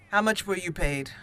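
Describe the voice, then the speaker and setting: Woman gamer, slow pace, bright forward voice, theatrical roleplay delivery, faint game music underneath. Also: fairly low pitch. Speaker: gaming channel host. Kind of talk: roleplay let's play, reading dialogue aloud and commenting.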